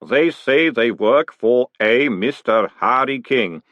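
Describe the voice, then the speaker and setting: A man voicing a patient, literal-minded golem servant in an audiobook, speaking slowly, formally and politely. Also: mid-range voice, lively intonation, no echo, lo-fi sound. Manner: slow, deliberate, formal delivery. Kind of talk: audiobook character voice.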